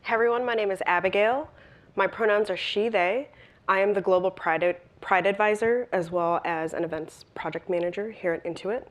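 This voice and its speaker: A woman's voice: mid-range voice, moderate pace. A woman from Los Angeles introducing herself on a panel in a direct, confident way.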